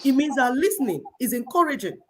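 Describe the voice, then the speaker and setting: A woman's voice: sits high, sing-song, fast, a bit bright. A middle-aged Igbo woman with a Nigerian accent, giving impassioned commentary in an emphatic, repetitive, preaching cadence. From Igbo people of Nigeria.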